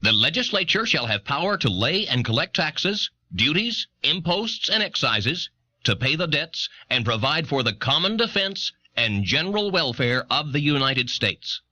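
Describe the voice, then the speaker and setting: An actor playing a formal 18th-century American delegate, declaiming an official report in dramatised history. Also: male voice, a little high, sing-song, moderate pace, pushed and bright, no room sound, exaggerated voice. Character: David Brearley, formal 18th-century New Jersey delegate. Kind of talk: dramatised historical document reading. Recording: dull old audio cassette.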